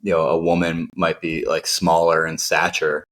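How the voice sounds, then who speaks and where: A man's voice: sits low, monotone, normal speed, fairly bright tone. A man who is a podcast guest, talking frankly and bluntly, swearing casually. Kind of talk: casual conversation.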